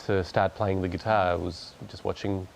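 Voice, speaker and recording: A man's voice: deep voice, level tone, normal speed, creaky, a little hiss or hum. A man, answering interview questions hesitantly and chuckling easily. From Australia. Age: 30s. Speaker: guest musician. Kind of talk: casual interview chat.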